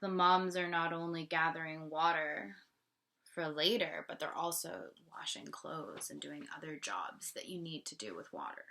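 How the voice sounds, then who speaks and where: A woman's voice: low-pitched, moderate pace, bright, forceful tone. A woman from Canada reading a picture book aloud as a teacher and explaining it simply to children.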